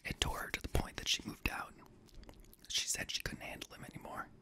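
A young man reading scary stories in a hushed, breathy ASMR whisper, gently, close to a studio microphone. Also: a little fast. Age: young adult. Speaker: host and reader of scary stories. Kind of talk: whispered ASMR horror story narration. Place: small indoor home studio room. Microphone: close boom-arm studio microphone.

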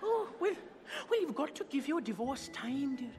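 A woman's voice: sits high, big pitch swings, normal speed, a little mellow. A woman playing a fussy, meddling older nanny in a stage comedy, with a muddled put-on British accent and a theatrical, doting manner. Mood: disapproving, meddling. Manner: theatrical, doting, calls people dear. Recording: echoey hall with music.

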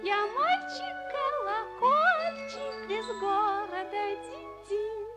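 A cheerful little bell creature from a musical box, extremely high and unhurried. The boy is courteous and singsong, swooping in pitch, in a 1940s radio fairy tale. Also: lively intonation, loud music behind the voice, heavily acted. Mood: singsong, inviting. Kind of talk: 1940s radio play acting, partly sung.

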